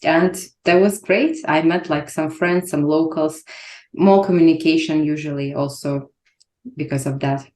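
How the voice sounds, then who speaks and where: Woman candidate, hesitant, low voice, echoey room. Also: steady tone, measured pace, a little mellow. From Saint Petersburg, Russia. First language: Russian. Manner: hesitant, many fillers. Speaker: exam candidate.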